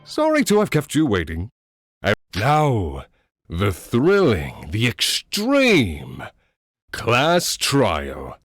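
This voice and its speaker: A man's voice: fairly low pitch, wildly swooping pitch, a bit bright, no echo, soft background music, exaggerated voice. A man voicing a theatrical, taunting master of ceremonies in an anime-style game fan dub, drawing out words like a showman. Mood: gleeful showmanship. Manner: dramatic, drawn-out showman delivery.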